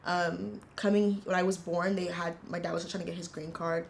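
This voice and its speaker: A woman in her twenties from the United States, telling her family story in an earnest, reflective interview, pausing often as she goes. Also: on the low side, flat delivery, vocal fry, a little hiss or hum. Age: 20s. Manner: earnest, reflective, halting with frequent fillers. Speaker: interviewee, university student. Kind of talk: casual personal storytelling.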